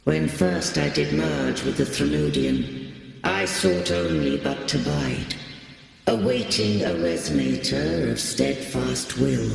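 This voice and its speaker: A man voicing an ancient godlike sentinel in a video game, speaking in solemn, archaic, grandiose measured phrases. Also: low voice, big pitch swings, creaky, full character voice. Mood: solemn, grave. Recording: cavernous reverb, music underneath.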